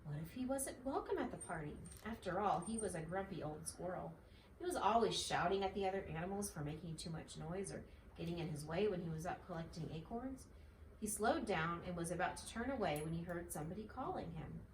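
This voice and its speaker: A woman's voice: lowish voice, expressive, fairly quick. A woman reading a children's story aloud as a mother would, in a home-style recording. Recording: echoey, slightly distant, faint noise.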